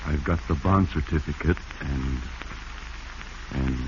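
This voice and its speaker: A man's voice: rumbling low, slow, darkish tone. An actor playing a discontented, scheming man in a 1940s radio drama. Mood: coaxing, secretive. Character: discontented, scheming man coveting his rich aunt's money. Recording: muffled, noisy 1940s radio recording.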